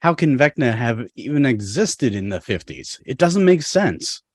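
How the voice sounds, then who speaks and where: A man's voice: medium pitch, very animated, measured pace, a little mellow, dry recording, clean studio sound. A man acting an exasperated, sarcastic teenage guy in a dramatic table read over a video call. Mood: skeptical, sarcastic.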